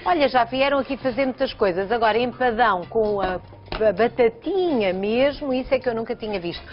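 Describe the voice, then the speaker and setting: A woman's voice: on the high side, brisk. A woman in her thirties with a European Portuguese accent, hosting a live TV cooking segment and chatting teasingly with her guest. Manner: chatty, teasing, plugging a phone contest. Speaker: TV show host. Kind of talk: live daytime TV cooking chat. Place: bright TV studio kitchen set. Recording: dull broadcast sound with music.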